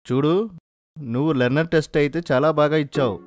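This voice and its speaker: Woman playing firm, encouraging low-voiced cartoon dad. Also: steady tone, fast, lightly acted. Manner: instructing, reassuring, firm. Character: encouraging but firm father in a family cartoon. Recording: music loudly behind the voice.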